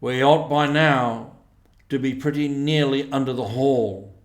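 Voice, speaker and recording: In a roomy, echoing sound, a man plays a stern storybook badger in command of his band. The words come slowly and firmly, with deliberate authority. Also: mid-range voice, lightly acted. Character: stern male badger, gruff commanding leader of animals.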